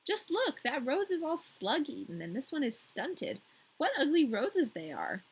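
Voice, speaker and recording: A woman's voice: high-pitched, huge pitch swings, unhurried, voice pushed up, exaggerated voice. A woman reading the part of a blunt, scornful young boy in a fairy tale, curt and critical.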